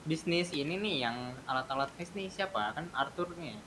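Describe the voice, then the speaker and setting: A man's voice: lively intonation, normal speed. A man with an Indonesian accent in casual, slangy banter with fellow gamers over voice chat. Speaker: gaming group member in an online roleplay session. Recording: noisy voice chat, rumble and keyboard typing.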